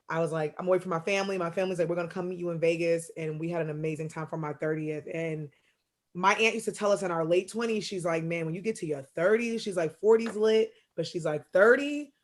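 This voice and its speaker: A woman in her thirties co-hosting a podcast, telling a lively story and acting out her relatives' lines. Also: on the low side. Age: 30s. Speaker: podcast co-host. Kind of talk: casual podcast chat.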